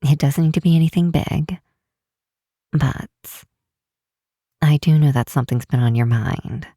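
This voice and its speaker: A woman playing a caring, teasing young best friend in an ASMR roleplay, speaking gently and hesitantly with soft pauses. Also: sits low, no echo, clean studio sound. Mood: wistful, gentle.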